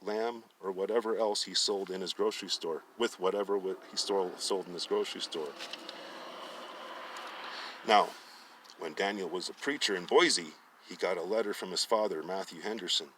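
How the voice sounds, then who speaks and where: A man's voice: sits low, moderate pace, a bit bright, distant mic, slight background noise. A man narrating fiction aloud as a storyteller, often stumbling and rereading phrases. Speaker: storyteller reading a book aloud.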